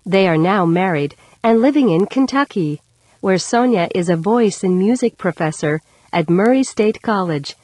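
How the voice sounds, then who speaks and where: Female narrator, mid-pitched, scripted educational read, measured delivery, close dry sound. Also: darkish tone, dry recording. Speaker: narrator of language course audio. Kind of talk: scripted narration, reading an article aloud.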